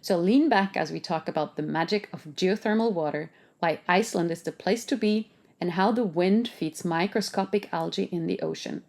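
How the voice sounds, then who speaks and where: A woman's voice: on the low side, normal speed, creaky, darkish tone. A woman hosting a podcast, reading a scripted introduction with playful asides. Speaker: podcast host. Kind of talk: scripted narration.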